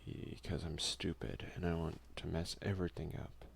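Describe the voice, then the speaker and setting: A man softly giving casual ASMR let's play commentary, muttering offhand asides and reading game text aloud. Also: sits low, little pitch movement, moderate pace, gravelly, slight background noise. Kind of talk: ASMR gaming commentary, soft-spoken and casual.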